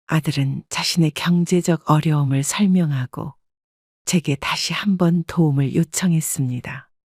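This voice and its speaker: A woman narrating a scripted first-person family drama in a calm, even storytelling manner. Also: low-pitched, normal speed, no echo, clean studio sound.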